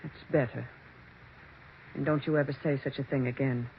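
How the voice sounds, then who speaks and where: A woman's voice: extremely low pitch, fairly even intonation. A woman playing a domineering, scheming mother who claims to speak with the dead, in stern American 1940s radio suspense acting. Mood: stern, menacing. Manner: stern, commanding, deliberate diction. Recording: dull, noisy 1940s radio recording.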